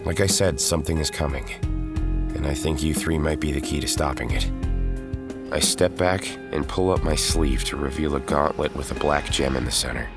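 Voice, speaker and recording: A man's voice: very deep voice, normal speed, loud music behind the voice. A man playing an earnest young hero, narrating and acting his own story in a dramatic audio drama style. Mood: grave, dramatic. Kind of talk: first-person audio drama narration and dialogue.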